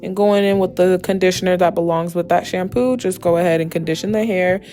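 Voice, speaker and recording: A young adult woman with a slightly hoarse, raspy voice, giving a chatty, emphatic beauty tutorial as a casual voice-over. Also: on the low side, steady tone, a little fast, warm tone. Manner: chatty, emphatic, addresses viewers familiarly. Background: background music with guitar.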